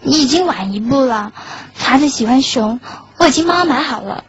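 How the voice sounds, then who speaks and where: A woman's voice: high voice, expressive, brisk. A woman playing a lovelorn schoolgirl in a radio drama, narrating her heartbreak in a wistful, earnest way. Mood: puzzled. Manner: wistful, earnest, softly self-effacing. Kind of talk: Chinese radio drama acting with inner monologue. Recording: dull lo-fi audio under clear music.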